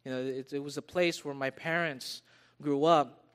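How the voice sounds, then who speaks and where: High-pitched man, American, preaching, repeats phrases for emphasis. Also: steady tone, normal speed, a bit bright, clean tone. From United States. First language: English. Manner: repeats phrases for emphasis, addresses listeners as beloved. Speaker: pastor. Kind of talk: sermon.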